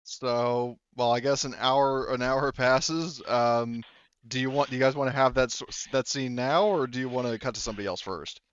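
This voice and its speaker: A man's voice: mid-range voice, normal speed, clean tone, lo-fi sound. A man running a tabletop roleplaying game, narrating informally and hesitantly as he improvises. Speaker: game master.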